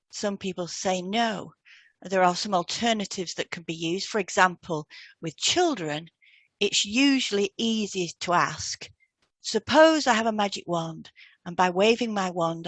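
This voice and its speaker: A woman's voice: on the low side, normal speed, a bit bright, no room sound. A woman co-hosting a podcast, reading scripted instructional narration. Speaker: podcast co-host, hypnotherapist.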